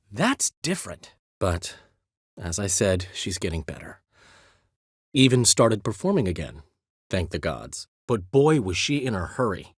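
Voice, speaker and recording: A man's voice: on the low side, breathy edge, dry recording, studio quality, heavily acted. An actor playing a flamboyant, talkative male bard in a video game, witty and theatrical. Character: flamboyant, chatty middle-aged male bard and poet. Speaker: game character.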